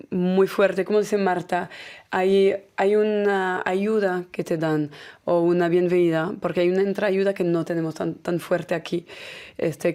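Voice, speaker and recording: A French woman talks as a radio guest, her voice sitting a little low. She answers in a relaxed way and picks up Mexican fillers. French is her first language. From France. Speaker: mezcal brand manager, guest. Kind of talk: conversational interview answers. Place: radio studio.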